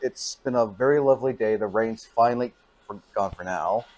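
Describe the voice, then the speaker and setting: A man hosts a casual convention interview. He asks his questions haltingly, stopping and starting over. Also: on the low side, darkish tone.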